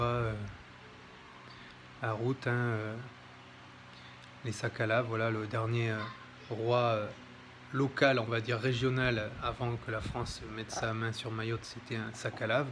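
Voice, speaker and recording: A man's voice: medium pitch, little pitch movement, a little slow, background noise. A man presenting a history book in an informal, hesitant commentary, with many fillers and self-corrections. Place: home near an airport.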